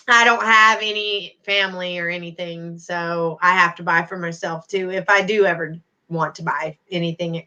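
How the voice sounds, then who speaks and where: A woman's voice: medium pitch, sharp tone, poor audio. A middle-aged woman hosting a casual livestream, chatting to viewers by name with endearments. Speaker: YouTube livestream host.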